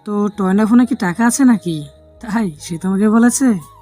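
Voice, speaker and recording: A woman's voice: mid-range voice, normal speed, dark tone, faint music behind, close to an everyday voice. A woman voicing a puzzled, impatient gang sidekick in an audio drama, full of questions. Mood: sceptical. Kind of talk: dramatised audio story acting.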